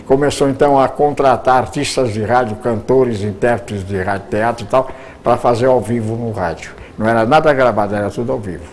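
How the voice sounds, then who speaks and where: A man's voice: medium pitch, a little fast. Older man, from Brazil, radio broadcaster, rambling, repeats words while thinking. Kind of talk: reminiscing interview answers.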